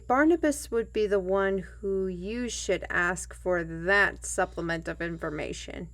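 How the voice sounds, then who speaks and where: A woman's voice: medium pitch, lightly acted. A woman playing a humble, plain-spoken fantasy trail guide and storyteller in an audio roleplay, with folksy, old-fashioned and hesitant phrasing. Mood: musing. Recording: music bed behind the voice.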